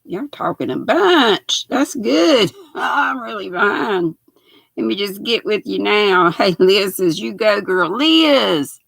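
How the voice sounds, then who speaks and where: An older woman with a raspy, gravelly voice talks to her livestream viewers at an unhurried pace. She chats in a folksy way and greets people by name. Her voice is close to the mic and dry. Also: high voice, fairly bright tone, no echo. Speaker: knitting livestream host. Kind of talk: casual live chat while sewing.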